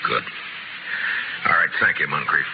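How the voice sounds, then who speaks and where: An actor playing a stern, steady frontier marshal in a radio western, speaking in a terse, firm, matter-of-fact way. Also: male voice, extremely low pitch, normal speed, gravelly. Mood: businesslike. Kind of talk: 1950s radio western acting. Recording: noisy, muffled old radio broadcast recording.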